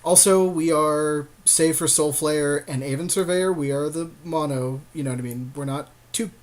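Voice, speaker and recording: A man's voice: fairly high pitch, normal speed. A man co-hosting a podcast in informal, joking chat over a card game, with casual swearing.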